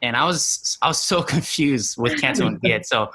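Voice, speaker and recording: Bright-voiced young guy, chatty. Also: mid-range voice, expressive, moderate pace, slightly rough, low-quality recording. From New Mexico, USA. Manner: chatty, lots of fillers like "you know", easy laughs. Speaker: guest, content creator. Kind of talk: casual podcast interview.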